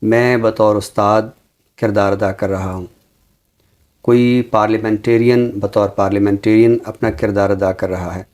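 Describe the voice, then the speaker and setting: A man with a Pakistani accent giving reflective commentary like a teacher, measured and explanatory, with deliberate pauses. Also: on the low side, steady tone, a little mellow. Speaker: teacher and programme guest. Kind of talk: reflective social commentary in an interview.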